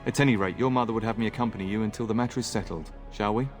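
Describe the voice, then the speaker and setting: An actor playing an earnest, eager and courteous young knight in a fantasy video game. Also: male voice, lowish voice, racing, faint music behind, natural voice. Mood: polite, wry. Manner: polite, boyishly earnest.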